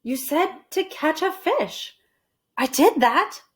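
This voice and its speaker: A woman's voice: high voice, animated, slow pace, fairly bright tone, voice pushed up, heavily acted. A woman voicing a cheerful, eager, literal-minded housekeeper from a children's storybook, exclaiming earnestly.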